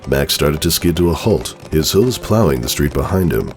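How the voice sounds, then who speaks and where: A man's voice: extremely low pitch, sing-song, moderate pace, soft background music. A man giving a dramatic storybook narration of a fan fiction tale.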